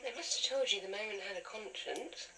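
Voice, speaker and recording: A woman's voice: mid-range voice, expressive, fairly quick, close to an everyday voice, rough recording. A woman playing the enigmatic, playful conscience of an ancient weapon in a television science fiction drama. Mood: eerie, teasing. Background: dramatic soundtrack music.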